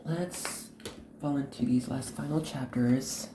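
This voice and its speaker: A woman hosting an unscripted tarot reading, speaking to the viewer in an earnest, instructive way, in short halting phrases. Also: a bass voice, steady tone, roomy sound, background noise. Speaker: host, tarot card reader.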